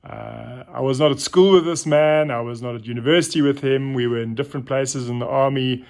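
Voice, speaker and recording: A man's voice: medium pitch, a little fast, vocal fry, darkish tone. Middle-aged male host, mid-range voice, for an informal spoken reflection; thoughtful, hesitant delivery. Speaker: host of a reflective story series.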